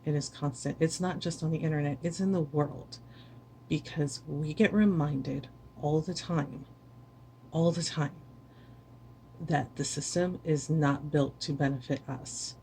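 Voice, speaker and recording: A woman's voice: deep voice, fairly even intonation, unhurried, a little mellow, slight background noise, rough recording. A weary woman streamer giving an earnest, impassioned speech to camera, repeating words for stress. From United States.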